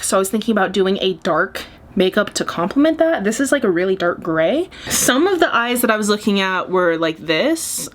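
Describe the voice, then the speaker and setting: A woman in her twenties chatting candidly while doing her makeup, with jokey asides. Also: medium pitch. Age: 20s. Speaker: beauty vlogger. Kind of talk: casual get-ready-with-me chat.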